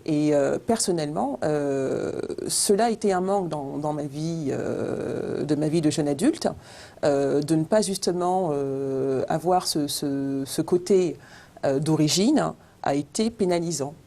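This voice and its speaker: A woman from France appearing as a guest on a studio debate, speaking hesitantly and filling her pauses with many fillers. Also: low-pitched, mellow. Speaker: studio guest, business owner.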